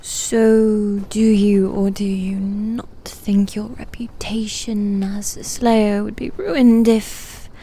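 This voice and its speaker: A woman playing a haughty, teasing female demon knight in an ASMR roleplay, speaking softly and deliberately, often dropping into a whisper. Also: medium pitch, flat delivery, breathy edge. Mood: sly, taunting.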